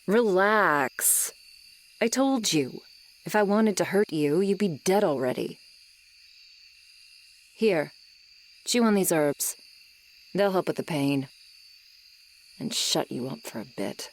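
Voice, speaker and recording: A woman's voice: lowish voice, animated, slight background noise. A woman voicing a bossy, exasperated but secretly caring female swamp monster in an ASMR audio roleplay. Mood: gruff, caring. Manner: exasperated, bossy, scolding, deliberate.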